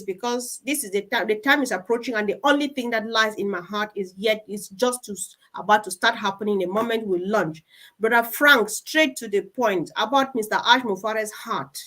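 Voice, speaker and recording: Female online meeting host, voice on the high side, encouraging and appreciative. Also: a bit bright. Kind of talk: live online group discussion.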